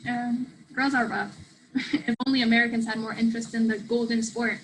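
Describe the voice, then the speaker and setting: A woman's voice: fairly high pitch, flat delivery, fairly quick, a little hiss or hum, lightly acted, low-quality recording. A woman in a play reading playing a blunt young South American student who talks in a casual, slangy, sweary ramble. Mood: teasing, crude. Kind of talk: play reading, naturalistic conversational acting.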